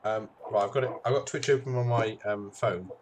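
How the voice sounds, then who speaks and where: A man's voice: fairly low pitch, fairly even intonation, brisk. A man hosting a stream, chatting casually and hesitantly with many ums and self-deprecating asides. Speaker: streamer host. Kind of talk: casual chat while setting up a stream. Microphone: webcam microphone. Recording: noisy webcam mic.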